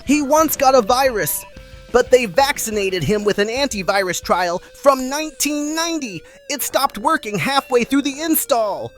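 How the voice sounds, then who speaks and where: High-pitched theatrical puppet host, voiced by a woman. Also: lively intonation, normal speed, soft background music. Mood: playful. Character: Benny, chatty puppet host, playful and theatrical. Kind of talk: scripted puppet show presenting.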